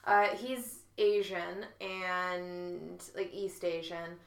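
A woman's voice: on the low side, flat delivery, very slow, creaky, roomy sound. A woman co-hosting a podcast with dry, wry humour in casual comedic chat. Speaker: podcast co-host.

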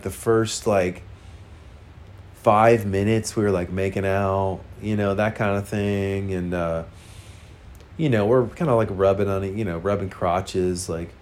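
A man's voice: fairly low pitch, darkish tone. A man with a congested, nasal voice, casually telling a frank, rambling personal story full of fillers.

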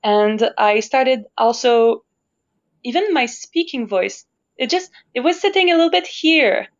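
A woman's voice: high-pitched, animated, a little slow. Woman from Canada, opera singer guest, thoughtful and searching, muffled lo-fi sound. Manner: thoughtful, searching for words, laughs easily. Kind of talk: reflective conversational interview. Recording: dull lo-fi sound.

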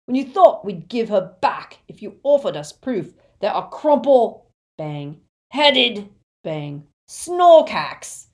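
A woman voicing a cruel male villain wizard with a rough, shouting voice in an audiobook. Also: fairly low pitch, animated, measured pace, few pauses. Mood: furious.

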